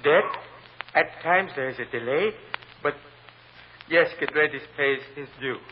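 An actor playing a troubled, humble immigrant witness, speaking halting, formal English in an old radio courtroom drama. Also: male voice, on the high side, lightly acted. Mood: guarded. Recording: muffled, noisy old radio recording.

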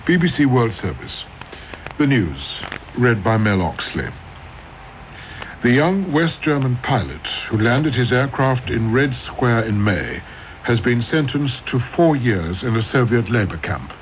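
A man's voice: mid-range voice, sing-song, unhurried. Man, formal news bulletin read, slightly noisy and dull radio recording. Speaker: newsreader.

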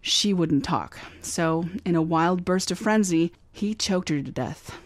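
A woman's voice: fairly low pitch, a little slow, no echo, natural voice. An actress playing a confident, wisecracking female private eye in a radio drama, breezy in tone. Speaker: actor. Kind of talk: old-time radio drama acting.

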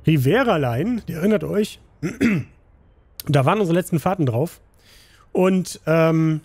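A slightly hoarse, tired man casually commenting on a game he plays, rambling with many fillers. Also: a little high, a little mellow. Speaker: gaming channel host.

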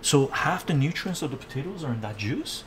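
A man's voice: medium pitch, animated, a little fast, noisy background. An older man live-streaming from his home kitchen, thinking aloud while he cooks and answering viewers' chat. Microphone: fixed camera mic, moves around the kitchen.

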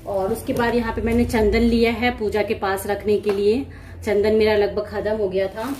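A woman's voice: mid-range voice, little pitch movement, normal speed, a little mellow, a little hiss or hum. A young woman from India chatting casually to viewers in a home vlog, explaining things step by step in her kitchen. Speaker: vlogger. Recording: echoey home room.

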